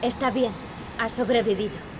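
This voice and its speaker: An actress playing an earnest, determined young princess in a video game. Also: female voice, a little high, normal speed, background noise, natural voice. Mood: serious. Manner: earnest, serious. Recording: loud game music behind. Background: music.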